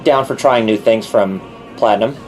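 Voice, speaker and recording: A man's voice: fairly low pitch, normal speed, faint music behind, low-quality recording. A man hosting a live reaction stream, chatting casually and reading viewer comments aloud. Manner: relaxed, reads viewer chat aloud and reacts. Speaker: livestream host.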